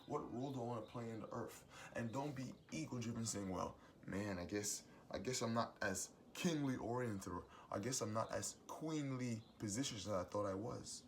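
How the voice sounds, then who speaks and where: A young adult man with a booming voice gives a preachy, emphatic motivational monologue as host of his own series. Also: normal speed, hardly any pauses, slight background noise. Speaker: host giving advice monologue.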